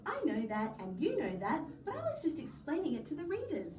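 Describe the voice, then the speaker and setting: A woman's voice: high-pitched, wildly swooping pitch, brisk, a little mellow, voice pushed up. A woman voicing an earnest, put-upon male narrator-hero who explains and protests in a children's storybook. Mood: protesting. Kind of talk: children's storybook read-aloud. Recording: roomy, distant mic, faint noise.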